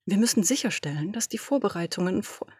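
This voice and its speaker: A woman voicing an imperious, domineering female boss who talks in brisk, commanding, dismissive orders. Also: mid-range voice, animated, a little mellow, slightly rough, dry recording, studio quality. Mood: impatient, imperious. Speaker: podfic reader. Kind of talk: German audio drama reading.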